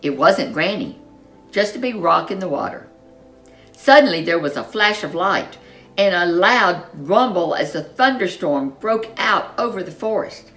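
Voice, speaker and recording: Low-voiced woman telling a lively children's story. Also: soft background music, rough recording. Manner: animated, dramatic emphasis with drawn-out words. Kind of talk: expressive storybook narration for young children.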